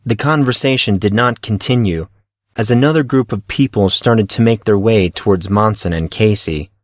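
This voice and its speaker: A man's voice: fairly low pitch, moderate pace, dry recording, clean studio sound. A man reading scripted narration for a fantasy audio story as its narrator.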